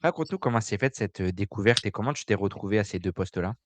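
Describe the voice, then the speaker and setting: A man's voice: on the low side, expressive, fast, a little hiss or hum. A man hosting a podcast and interviewing his guest in a conversational way. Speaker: podcast host.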